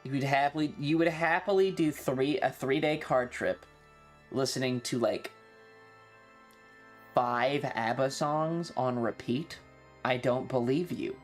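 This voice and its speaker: A streamer chatting casually and live, rambling and opinionated, swearing freely and complaining with playful exaggeration. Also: medium pitch. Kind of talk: casual livestream chat.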